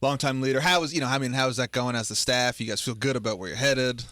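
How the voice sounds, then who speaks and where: A man's voice: mid-range voice, fast, no echo. A man in his thirties co-hosting a radio talk show in a studio, chatting casually and joking often. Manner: animated, joking, laughs often. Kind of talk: casual chat.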